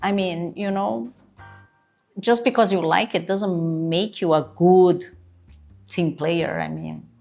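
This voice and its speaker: A woman's voice: fairly low pitch, steady tone, slow pace, gravelly, a little mellow, faint music behind. A woman with a Brazilian Portuguese accent, whose native language is Portuguese, coaching job-seekers in an earnest, emphatic way and often checking in with "right?". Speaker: interview coach. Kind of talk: instructional video talk.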